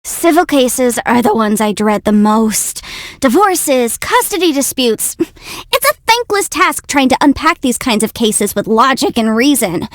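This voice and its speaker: A woman's voice: high-pitched, no echo, studio quality. A woman voicing a cute, confident young female legal adviser in a video game, youthful and articulate with a theatrical, self-assured delivery. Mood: weary, candid. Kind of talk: video game character voice lines.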